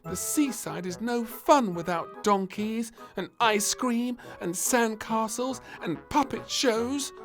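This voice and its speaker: Storybook read-along: a man doing an eager little girl princess, voice pushed way up high and loud, bouncy and squealing. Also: slow pace, few pauses, over music. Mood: disappointed.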